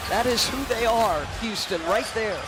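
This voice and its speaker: A man with a very high-pitched voice gives color commentary on basketball. He explains emphatically, often with rhetorical questions. The relayed arena broadcast is extremely noisy. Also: moderate pace. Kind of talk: live sports analysis.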